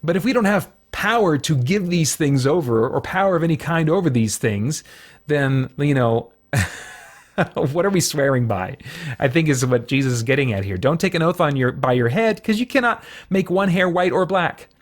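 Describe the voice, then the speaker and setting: A man hosting an informal Bible study for an online audience, talking in a casual, halting way as he thinks aloud with frequent asides. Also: on the high side, animated. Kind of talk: informal sermon, conversational Bible teaching.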